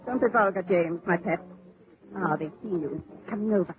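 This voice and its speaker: An actress playing a haughty, sugary and sly society hostess with an upper-class British accent, in 1940s radio style. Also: female voice, lowish voice, normal speed, natural voice. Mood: arch, teasing. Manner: gushing, arch, condescending. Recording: noisy, tinny 1940s radio broadcast.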